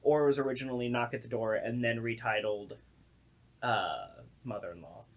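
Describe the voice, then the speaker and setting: A man's voice: medium pitch, moderate pace. A man chatting casually and informally as the director of a radio play, with a sardonic, joking streak. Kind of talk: casual group podcast chat.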